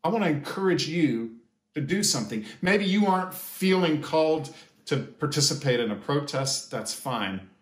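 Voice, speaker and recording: An American man in his fifties giving a measured, sermon-like pastoral address with deliberate pauses. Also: on the high side, lively intonation. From United States. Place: small indoor sitting room or office. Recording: echoey room.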